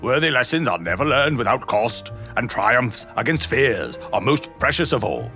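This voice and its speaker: A man's voice: mid-range voice, sing-song, normal speed, bright, forceful tone, faint music behind. An actor playing a pompous, blustering old British statesman in an audio drama, boastful yet kindly, with grand oratorical phrasing. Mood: rallying, resolute. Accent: British English.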